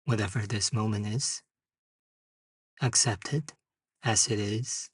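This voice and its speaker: A man leading a guided meditation, speaking in short, unhurried phrases with long pauses. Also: lowish voice, steady tone, husky. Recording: distant mic in a roomy, echoing space.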